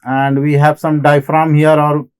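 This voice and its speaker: A man with an Indian accent giving a technical lecture as an instructor, explaining in halting phrases and often repeating himself. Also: a little high, completely flat delivery, a little mellow, clear, resonant tone. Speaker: engineering lecturer. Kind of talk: classroom lecture on technical material.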